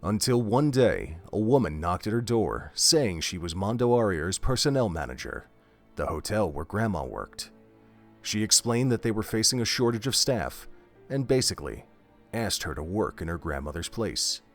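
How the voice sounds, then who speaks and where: A man narrating a scripted story in a measured, steady way. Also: lowish voice. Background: faint music.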